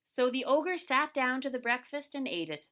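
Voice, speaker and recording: A woman's voice: high-pitched, expressive, moderate pace, fairly bright tone. A woman narrating a scripted children's story as the storyteller of a puppet show.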